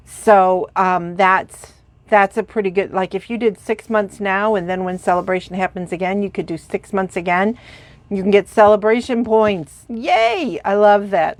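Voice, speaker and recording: A woman's voice: mid-range voice, no room sound. A congested, sniffling woman chatting through a crafting tutorial, rambling with lots of fillers and gushing about supplies. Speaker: craft demonstrator.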